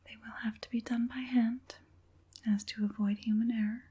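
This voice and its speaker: A woman voicing an evil witch puppet master in an ASMR roleplay, mostly whispering in a breathy, deliberate, murmuring way. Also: on the high side, flat delivery, dark tone. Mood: soothing, sinister. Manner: slow, deliberate, murmuring assent between pauses.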